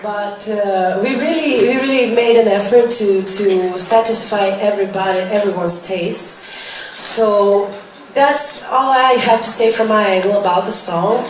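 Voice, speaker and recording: A chatty young woman fields press questions, laughing often and filling pauses with "uh". Her voice sits at a middle pitch and comes from far off the mic. The room is very echoey and noisy. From Serbia. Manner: chatty, laughing, apologetic, with many fillers. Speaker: singer answering press. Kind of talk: press Q&A chat.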